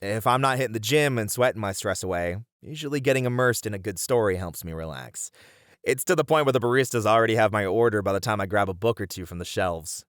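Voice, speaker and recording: A man's voice: on the low side, fast, fairly bright tone, dry recording, clean studio sound, natural voice. A man voicing a friendly, bashful bunny man who chats helpfully to the listener in an ASMR roleplay. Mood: relaxed, confiding. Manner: chatty, helpful, easily flustered, breaking into chuckles and hesitations. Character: friendly, bashful bunny man, bookish manga cafe regular. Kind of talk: ASMR roleplay, one-sided conversation with the listener.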